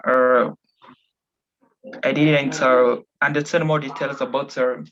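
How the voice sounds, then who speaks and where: A man's voice: mid-range voice, level tone, takes it slowly, fairly bright tone, slight background noise. A young man from the Democratic Republic of Congo, a student, speaking hesitantly with many fillers. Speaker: attendee, student. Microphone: video call. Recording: dull video-call audio.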